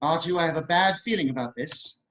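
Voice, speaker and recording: A man's voice: fairly high pitch, brisk, a bit bright, lightly acted. A man voicing a fussy, anxious, polite protocol droid with prim, formal diction in an online table read. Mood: fretful. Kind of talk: amateur table read over video call. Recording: muffled video-call audio.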